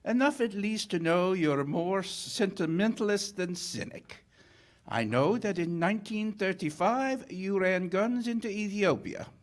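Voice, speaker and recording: A man's voice: high voice, lively intonation, a little slow. A man acting a suave, corrupt middle-aged police captain in 1940s radio theater style, unctuous, wry and theatrically courteous. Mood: sly, teasing. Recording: live stage show with music behind.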